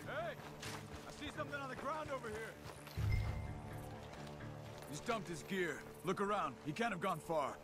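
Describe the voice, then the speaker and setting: An actor playing a serious, skeptical outlaw tracker in a video game. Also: male voice, sits high, expressive, moderate pace, long pauses, loud music behind the voice. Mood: urgent. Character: earnest, steady male outlaw tracker companion. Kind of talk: video game cutscene acting.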